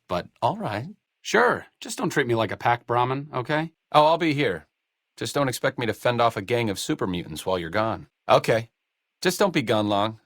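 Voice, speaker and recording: A man's voice: medium pitch, a bit bright, dry recording. A man playing a wry, bookish doctor companion in a post-apocalyptic video game, with dry, sardonic and articulate delivery.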